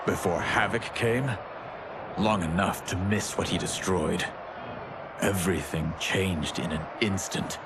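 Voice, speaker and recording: An actor playing a grave, proud deposed emperor in a video game, speaking in a solemn, formal, measured way. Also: male voice, low voice, noisy background. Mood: bitter, solemn. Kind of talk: video game cutscene acting.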